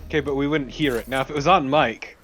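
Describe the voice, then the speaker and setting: A man's voice: mid-range voice, fast talker, fairly bright tone. Wry man chatting over game music. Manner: wry, joking. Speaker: let's play co-commentator. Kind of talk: casual gameplay commentary chat. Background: video game music.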